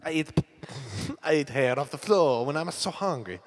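A hungry Italian ghost in a comedy show, high-pitched and swooping wildly in tone. He tells passionate stories in broken English with a thick Italian accent, all drenched in long reverb. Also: animated, normal speed. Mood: dramatic. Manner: broken English, theatrical rambling storytelling. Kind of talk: improvised comedy character.

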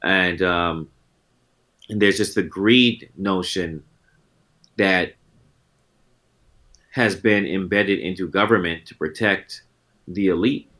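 Deep-voiced laid-back man in his forties. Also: slow, long pauses, fairly bright tone. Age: 40s. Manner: laid-back, reflective, circling back on thoughts. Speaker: guest musician. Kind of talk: casual interview talk.

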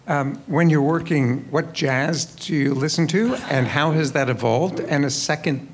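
A man's voice: a little high, unhurried, slight background noise. A man asking informal questions from the floor as an audience member.

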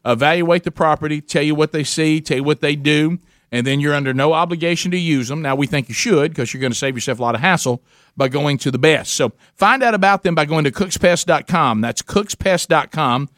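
A man's voice: on the high side, a little fast, a bit bright, no echo, studio quality. A man hosting a radio talk show, joking with co-hosts and reading live ads. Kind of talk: casual radio banter and live ad reads.

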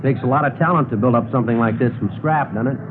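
A man playing a laconic, low-key investigator making polite conversation in a 1950s radio drama. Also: fast talker, phone-quality sound, music in the background, lightly acted. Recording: noisy old radio broadcast, engine sounds.

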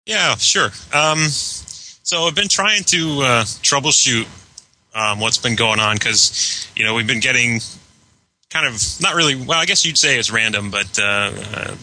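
A man from the United States chatting casually as a tech-minded podcast guest, explaining things in a hesitant, measured way. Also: medium pitch, bright, forceful tone, poor audio. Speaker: guest and server admin. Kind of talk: casual technical chat.

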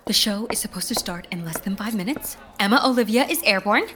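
A woman's voice: mid-range voice, expressive, fairly quick, heavy background noise, natural voice. A woman playing a frazzled, panicky theatre worker with an urgent, dramatic delivery. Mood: panicked.

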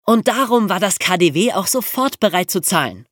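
Animated storyteller, very dry close recording. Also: medium pitch, moderate pace, no echo. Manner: animated, colloquial, rhetorical questions. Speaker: podcast host telling true crime stories. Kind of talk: conversational storytelling narration.

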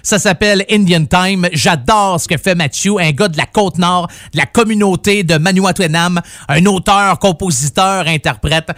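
A man's voice: high-pitched, normal speed, few pauses, a bit bright, dry recording, studio quality. A middle-aged man with a Québécois accent hosting a rock music radio show, chatting in a casual, playful, rambling way. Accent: Québécois French. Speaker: radio host.

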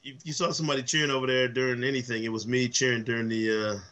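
Slightly hoarse man chatting on a podcast. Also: mid-range voice, racing. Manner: animated, enthusiastic storyteller with many fillers. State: voice worn from travel. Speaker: podcast co-host. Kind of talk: casual sports chat.

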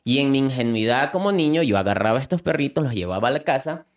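A man's voice: mid-range voice, expressive, quick pace. Earnest young man. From Ecuador, coastal Manta. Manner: earnest, heartfelt, repeats himself when emotional. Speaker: animal rescuer and content creator. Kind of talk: personal storytelling in an interview.

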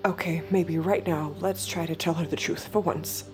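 An actress playing a guarded, detached young escort in an intimate audio drama, speaking in a cool, measured way. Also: female voice, on the low side, steady tone, over music, natural voice. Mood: confessional, brooding. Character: guarded, detached young woman escort hiding her true self. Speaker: lead character and narrator. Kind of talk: intimate audio drama acting with inner narration.

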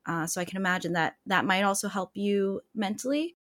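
Female interviewer, warm affirmations, clear questions. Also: medium pitch, a little fast. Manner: friendly, affirming, leads into questions. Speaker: interviewer, host.

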